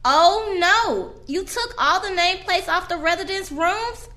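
A woman playing a stern, officious, scolding nurse in an audio play, brisk and bossy. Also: very high voice, expressive, bright, forceful tone, heavily acted.

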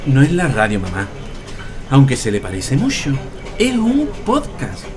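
Lively, teasing Andalusian dad. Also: male voice, mid-range voice, measured pace, music in the background. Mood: explaining. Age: middle-aged. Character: middle-aged family father, sly, proud, teasing. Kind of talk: naturalistic audio fiction.

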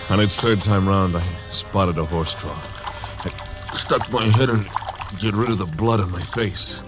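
A man's voice: sits low, a little slow. A man narrating and playing a terse, hard-boiled frontier marshal in a 1940s radio drama. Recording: dull old radio broadcast over music.

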